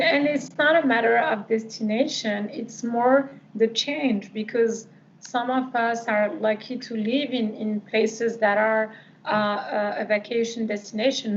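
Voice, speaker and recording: A woman's voice: fairly high pitch, creaky, slight background noise. A woman in her thirties from Morocco, hosting a video call interview and asking questions with hesitant ums. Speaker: host. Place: home room. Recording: dull lo-fi video call audio.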